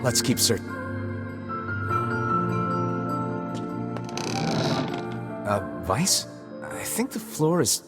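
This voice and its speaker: An actor playing an earnest young adventurer in a video game, speaking casually and plainly. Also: male voice, mid-range voice, very animated. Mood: uneasy. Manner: casual, understated, halting. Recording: loud music behind the voice. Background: game music.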